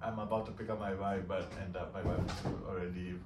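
A man from Malaysia, a podcast guest chatting casually in halting English that repeats words. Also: low-pitched, little pitch movement. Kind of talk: casual chat. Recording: echoey room, distant mic, clear background noise.

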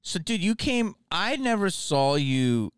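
A man hosting a podcast, chatting casually with a guest, laughing often and firing quick follow-up questions. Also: sits high, sing-song, clear, resonant tone, dry recording, studio quality. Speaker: podcast host. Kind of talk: casual interview chat.

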